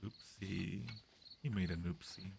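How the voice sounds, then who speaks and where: A man's voice: sits low, steady tone, slow pace, gravelly, noisy background. A man hosting a movie watch-along stream, giving casual, joking commentary in a playful, teasing way.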